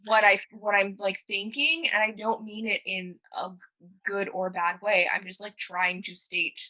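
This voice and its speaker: Two to four sentences: A young woman hosts a relaxed podcast chat, and her voice sounds bright and forward. She talks loosely and candidly, drops the odd swear word and laughs easily. Her pitch stays at a middling level. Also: moderate pace, low-quality recording. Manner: chatty, swears casually, laughs often. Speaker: podcast host. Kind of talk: casual chat.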